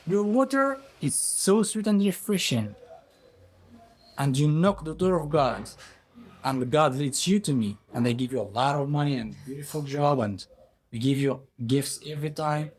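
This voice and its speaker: A man's voice: medium pitch, slight background noise, close to an everyday voice. A man with non-native English voicing an imperious, scheming king who commands and questions in a folk tale. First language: non-native English speaker.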